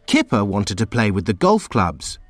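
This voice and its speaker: A man reading a children's storybook aloud in a slow, very expressive storytelling way. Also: on the low side, no echo, faint music behind. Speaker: storybook reader. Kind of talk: children's read-aloud narration.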